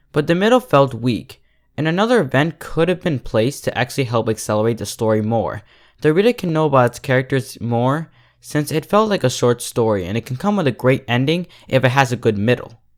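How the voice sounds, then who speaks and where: A man speaking as the host of an online fiction review channel, giving a scripted critique straight to his viewers. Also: medium pitch.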